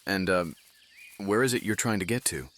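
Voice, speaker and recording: A man playing a gruff, wry, fatherly commander in a fantasy audio drama, speaking haltingly. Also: low voice, lively intonation, a bit bright. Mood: curious.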